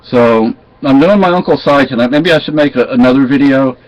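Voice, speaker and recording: A man's voice: mid-range voice, a little fast. Folksy Southern man, lo-fi home vlog. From Texas. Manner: rambling, folksy, with many restarts. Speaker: vlogger. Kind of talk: casual storytelling chat. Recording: dull lo-fi sound.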